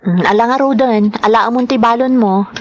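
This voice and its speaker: Actress as a nagging, caring Ilocano wife. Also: female voice, a little high, fairly quick, lo-fi sound, lightly acted. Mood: resigned, caring. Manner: nagging, exasperated but caring. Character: worried, scolding wife of a construction laborer. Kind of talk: Ilocano radio-style drama acting.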